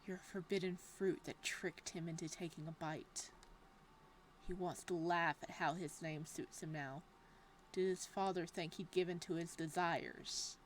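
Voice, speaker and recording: A young woman hosting a horror game playthrough, chatty and excitable, reading the game text aloud. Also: on the low side, unhurried, a little hiss or hum, low-quality recording. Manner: bubbly and excitable, laughs often between lines. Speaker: gaming channel host.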